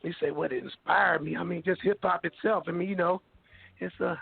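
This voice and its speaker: A hoarse man talks slangy and relaxed, his voice pitched high with a gravelly, raspy edge. He comes through a dry, tinny phone line. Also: fairly quick, no room sound. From Kansas City, Missouri, USA. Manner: laid-back, slangy, laughs often. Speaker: guest rapper. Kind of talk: casual interview chat.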